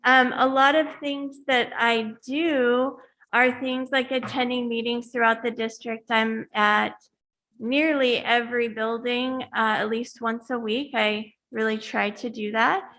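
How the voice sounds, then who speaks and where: A woman's voice: fairly high pitch, fairly even intonation, a little slow, pushed and bright. A woman, a teacher on special assignment, presenting to a school board, hesitant with many ums and restarts.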